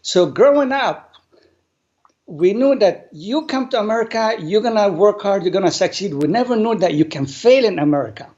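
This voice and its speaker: A man, an English speaker, telling his life story earnestly and deliberately as an interview guest. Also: high voice, lively intonation, darkish tone. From Lebanon. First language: not English. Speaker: guest, business owner. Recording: dull lo-fi sound.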